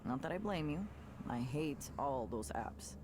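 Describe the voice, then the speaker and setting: Animated, candid young woman. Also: low-pitched, a little slow, a little hiss or hum. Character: upbeat young woman, electronic musician and old friend, candid, animated. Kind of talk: visual novel game voice acting.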